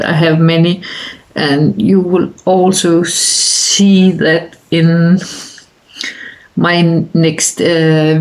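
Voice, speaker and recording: A woman's voice: fairly low pitch, low-quality recording. A woman in her fifties from Denmark with a Danish accent, talking casually to camera in her bedroom, hesitant with many fillers and restarts. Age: 50s. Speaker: vlogger. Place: bedroom indoors.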